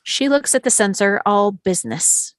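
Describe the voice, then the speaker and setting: A woman narrates a screenplay at a table read, reading out the action. Her pitch is average and the recording is close and dry, with little room sound. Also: animated, normal speed, no echo. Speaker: narrator reading stage directions.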